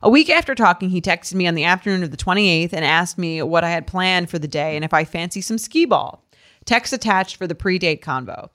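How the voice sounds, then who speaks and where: A woman's voice: fairly low pitch, animated, brisk, a bit bright. A woman podcast co-host reading a listener's email aloud in a conversational style, adding casual asides.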